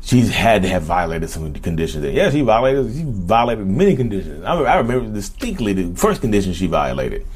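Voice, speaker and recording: A man in his thirties with a very low, rough-edged voice. He talks in a casual, slangy way straight to his audience. His delivery is emphatic, often circling back to repeat a phrase. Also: brisk, few pauses. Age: 30s. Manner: animated, repetitive and emphatic, slangy, acts out imagined dialogue. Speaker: YouTube host speaking about his own narcissism. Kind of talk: casual direct-to-camera talk.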